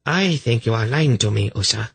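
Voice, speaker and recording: A man voicing a cruel, imperious and suspicious high priestess in a fantasy audiobook, with cold, menacing, sarcastic delivery. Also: lively intonation, moderate pace, vocal fry, a bit bright, breathy edge, no room sound, heavily acted. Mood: suspicious, threatening. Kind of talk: fantasy audiobook character acting.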